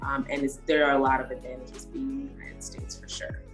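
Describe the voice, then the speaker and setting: A young woman from Haiti answering questions as an interview guest, her voice notably low for a woman. She speaks in a measured, reflective way, pausing on "um" as she gathers her thoughts. Faint music sits beneath her voice. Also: big pitch swings. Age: young adult.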